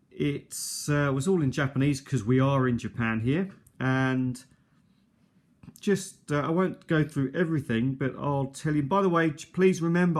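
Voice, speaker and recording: A middle-aged man with a mid-range voice talks casually and without a script, showing an item to viewers. He takes his time, speaking slowly and hesitantly, and wanders conversationally from point to point. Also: medium pitch. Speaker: video host. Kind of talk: unscripted casual product show-and-tell. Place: indoors at a kitchen table. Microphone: handheld or nearby tablet's built-in mic.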